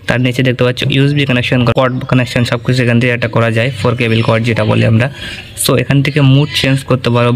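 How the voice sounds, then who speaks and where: A man giving an informal gear walkthrough, explaining step by step and often checking that the listener follows. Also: mid-range voice. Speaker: audio gear reviewer.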